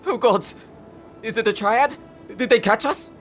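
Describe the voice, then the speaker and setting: A man's voice: very high voice, unhurried, music in the background. A man playing an eager, nervous tinkerer who panics easily, in an audio drama. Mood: panicked. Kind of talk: anime audio roleplay acting.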